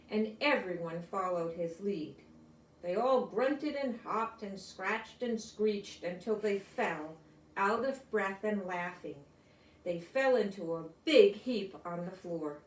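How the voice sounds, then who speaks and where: Older woman, children's storybook reading, unhurried delivery, roomy echo, distant from the mic. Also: medium pitch, slight background noise. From United States. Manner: simple, explanatory, addressing young listeners. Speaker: storybook reader. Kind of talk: read-aloud children's story with asides.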